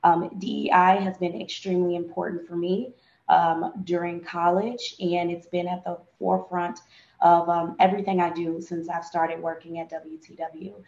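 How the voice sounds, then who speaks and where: Flat-voiced woman with roomy, dull audio. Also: lowish voice, moderate pace. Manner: hesitant, with many fillers. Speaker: insurance broker panelist. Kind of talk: casual self-introduction. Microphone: webcam video call.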